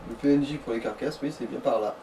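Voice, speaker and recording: Mellow-voiced male gaming companion, distant mic. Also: mid-range voice, fast talker. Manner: explanatory, informal. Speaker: co-commentator advising the player. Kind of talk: casual gaming chat. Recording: distant mic, faint noise.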